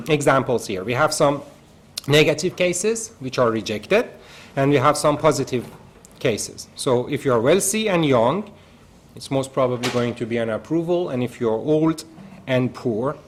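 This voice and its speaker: A male university lecturer with an Iranian accent, a native Persian speaker, giving a recorded lecture and working through examples step by step with frequent fillers. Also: mid-range voice.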